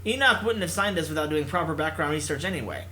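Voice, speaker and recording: Guy reading a story game out loud, expressive, highish bright voice, bit of background noise. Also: fast talker. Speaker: let's play host reading a visual novel aloud. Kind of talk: expressive read-aloud narration with casual asides.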